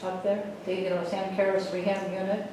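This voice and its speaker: A woman's voice: mid-range voice, little pitch movement, brisk. Distant woman asking questions, very echoey. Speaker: board member asking questions. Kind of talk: casual questioning in a meeting. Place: indoor board meeting room. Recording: echoey room, distant mic, clear noise.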